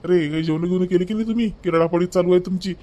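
A man's voice: high voice, steady tone, fast, mellow, lightly acted. A man voicing an older family patriarch in a cartoon drama, fond and folksy, preaching with rhetorical questions in a Varhadi Marathi accent. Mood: brisk, chiding. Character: older patriarch father-in-law, fond, gruffly moralising.

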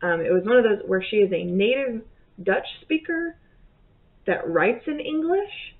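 A woman's voice: mid-range voice, expressive, measured pace. A woman in her thirties chatting casually at home about her month's reading, rambling and correcting herself. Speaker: book vlogger. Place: bedroom or home office, indoors. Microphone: webcam at desk. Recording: tinny phone-like sound.

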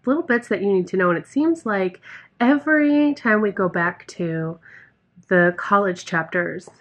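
A woman's voice: mid-range voice, lively intonation, measured pace, fairly bright tone. A woman in her thirties talking casually to a handheld phone camera about a book, frank and chatty with the odd swear word. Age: 30s. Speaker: book vlogger. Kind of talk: casual reading vlog chat. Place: hotel room and car, indoors. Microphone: handheld phone camera at arm's length.

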